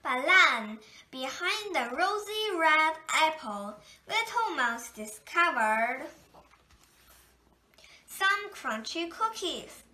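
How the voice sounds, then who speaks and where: Slow, bright-voiced woman reading aloud. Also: sits high, big pitch swings. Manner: slow, gentle storytelling. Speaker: picture book reader. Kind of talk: children's read-aloud. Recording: echoey room.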